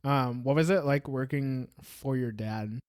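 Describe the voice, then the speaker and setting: A Brazilian-born man with an American accent hosting a casual podcast interview, relaxed and often restarting phrases. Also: medium pitch, a little slow. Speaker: podcast host.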